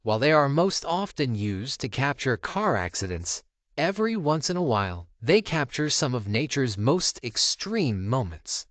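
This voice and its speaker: A male narrator delivers a scripted voice-over in a mid-range voice. His intonation is lively and varied, and the recording is dry with hardly any room sound. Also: medium pitch, normal speed, no echo. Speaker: narrator of a viral video compilation.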